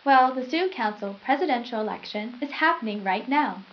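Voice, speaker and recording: A chipper, eerily reassuring teenage girl anchoring a school newscast, acted by a young woman. Her voice sits fairly high, on dull, lo-fi nineties videotape sound. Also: expressive, a little fast, natural voice. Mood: upbeat. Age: young adult. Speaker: news anchor. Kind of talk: scripted school news presenting, acted. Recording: dull lo-fi 1990s VHS sound.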